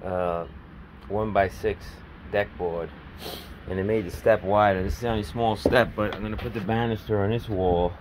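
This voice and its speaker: Man, middle-aged, lowish voice, casual rambling DIY walkthrough, phone recording outside, faint outdoor hiss. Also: fairly even intonation, normal speed, a little mellow. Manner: plainspoken, rambling, working-man talk. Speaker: DIY home builder filming his project. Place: outdoors at a house, in a dug-out basement stairwell. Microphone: handheld phone camera.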